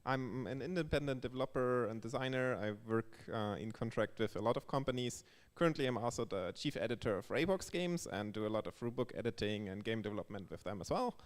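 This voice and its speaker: A man's voice: mid-range voice, animated, fast, hardly any pauses. A man from Germany with a German accent, talking casually as an interview guest about his work. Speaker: guest, board game developer. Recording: echoey hall with long reverb.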